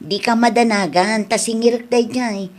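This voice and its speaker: A woman playing an easygoing, teasing village wife in a radio comedy drama, expressive and conversational. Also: a little high, normal speed, lightly acted. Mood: placating.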